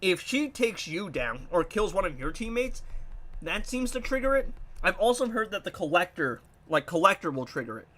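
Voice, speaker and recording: Stuffy nasal young guy talking over his game, bright voice, bounces up and down a lot. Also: high-pitched, moderate pace. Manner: animated, puzzled, rambling. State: congested, nasal. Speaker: gaming YouTuber. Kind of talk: casual gameplay commentary.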